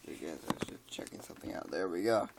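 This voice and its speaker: A tired man reads a scary story in a casual way, tripping over words and joking about them. His voice is low and gravelly. A faint noise sits under it. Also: expressive, fast.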